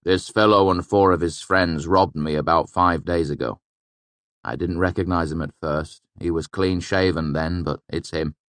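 A man voicing a sardonic, composed scribe with a grudge in a storybook, speaking in a measured way. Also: deep voice, steady tone, no echo, natural voice. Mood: angry, bitter. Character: dry, aggrieved male scribe, sharp and composed.

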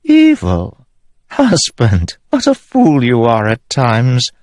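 A man voicing a high, sneering haughty queen. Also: huge pitch swings, takes it slowly, a little mellow, no echo, clean studio sound. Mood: scornful. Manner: scornful, sneering, high and pinched. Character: scheming queen, haughty and mocking. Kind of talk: audiobook character voice.

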